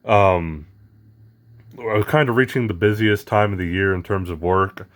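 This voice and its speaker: A man with a hoarse, raspy voice from losing it, chatting casually as the host of an unboxing vlog, rambling with lots of fillers. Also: on the low side, normal speed. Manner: rambling, lots of ums and uhs.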